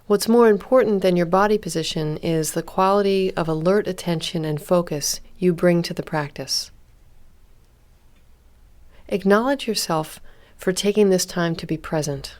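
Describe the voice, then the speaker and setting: A woman leading a guided body scan meditation as an instructor, speaking calmly and gently in an unhurried way with long pauses. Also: lowish voice.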